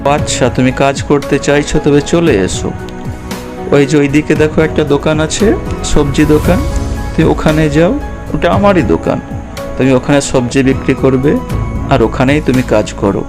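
A man's voice: fairly high pitch, fairly even intonation, moderate pace, over music, close to an everyday voice. A man playing a practical, obliging market shop owner who gives directions in a children's cartoon story. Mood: obliging, instructing.

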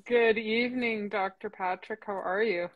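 A woman in her forties hosting an online interview, warm and encouraging, talking over a webcam video call from home. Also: medium pitch, steady tone, moderate pace, lo-fi sound. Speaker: interview host, association president. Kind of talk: casual interview chat. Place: home room. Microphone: laptop webcam on video call.

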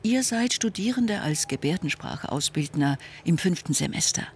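Gravelly man reading a voice-over. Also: on the high side, lively intonation, moderate pace, a little hiss or hum. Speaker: voice-over interpreter. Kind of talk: read voice-over translation of signed speech.

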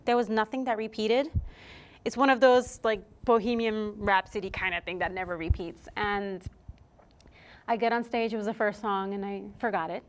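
A woman's voice: mid-range voice, normal speed. A woman in her thirties who grew up in Puerto Rico, a guest singer telling stories in a casual interview in a TV studio. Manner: chatty, animated storytelling with laughs. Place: TV studio set, indoors. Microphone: lapel mic.

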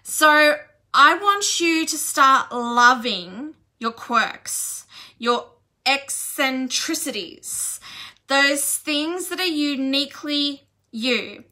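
A woman's voice: high voice, lively intonation, pushed and bright. A woman in her thirties from Australia gives an earnest, encouraging self-development talk to camera at home. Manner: earnest, encouraging, slow and deliberate. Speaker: business and self-development coach. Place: indoor room at home.

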